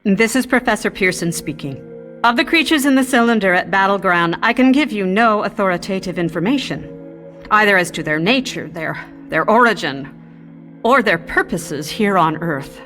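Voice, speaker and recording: A woman's voice: medium pitch, animated, a bit bright, soft background music, close to an everyday voice. An actress as a composed, formal female professor. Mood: grave, thoughtful. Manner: deliberate, academic, formal. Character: female science professor, composed, cautious, authoritative. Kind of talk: radio drama expert interview.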